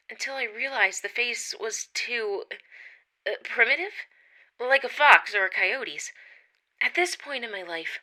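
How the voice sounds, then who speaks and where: A woman reading a first-person account of a strange encounter, dropping to hushed tones at tense moments. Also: a little high. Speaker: witness reading her account.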